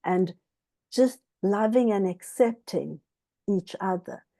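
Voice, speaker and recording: A woman from Zimbabwe giving a candid, earnest personal account as a guest author at a book club, often halting as she reflects. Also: mid-range voice, dark tone, no echo, studio quality.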